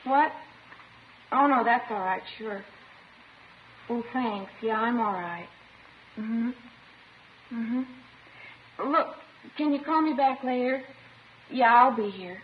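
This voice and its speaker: A woman's voice: a little high, slow pace, long pauses. Sullen schoolgirl, old radio. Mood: bright, casual. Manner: petulant, emotionally detached. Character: sullen, defensive, childlike schoolgirl confessing to police. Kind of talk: 1950s radio crime drama acting. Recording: muffled, noisy old 1950s radio recording.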